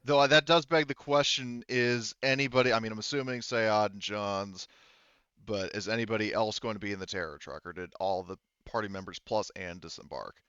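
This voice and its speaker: A man with a smooth, clear voice runs a tabletop game in conversational style. He explains and qualifies as he goes, informal throughout. The track is dry and a little dull. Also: on the low side, fairly quick, a bit bright, no room sound. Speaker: game master. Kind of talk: casual tabletop game narration.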